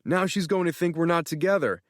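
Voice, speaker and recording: A man voicing an excitable, flamboyant male friend who talks in theatrical gushes. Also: on the high side, fairly quick, no room sound, clean studio sound, voice pushed up, natural voice. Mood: indignant. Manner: theatrical, gushing.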